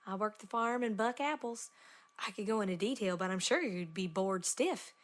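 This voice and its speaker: A woman plays a hardworking cartoon farm mare with a Southern American drawl and medium pitch. She talks plainly and matter-of-factly, with folksy turns of phrase. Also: lively intonation, moderate pace, lightly acted. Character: plain-spoken, hardworking female farm pony. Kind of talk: cartoon character letter reading.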